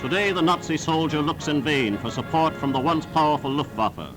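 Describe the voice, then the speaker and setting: A man's voice: fairly high pitch, lively intonation, fairly quick, over music, poor audio. A man reading scripted 1940s newsreel narration in a formal, emphatic, declamatory manner on a vintage soundtrack.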